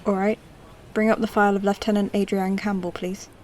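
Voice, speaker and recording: A woman's voice: medium pitch, level tone, fairly quick, darkish tone, a little hiss or hum, natural voice. Casual, curious lieutenant played by a woman. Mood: tentative. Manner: casual, muttering to herself. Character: curious, informal female lieutenant adrift in the future. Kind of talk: science-fiction audio drama acting.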